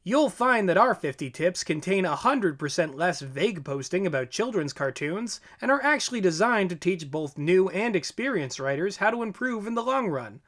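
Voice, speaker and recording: High-voiced man reading scripted tips. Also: very animated, normal speed, few pauses, a bit bright. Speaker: co-presenter, audio drama writer. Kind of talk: scripted presentation of numbered writing tips.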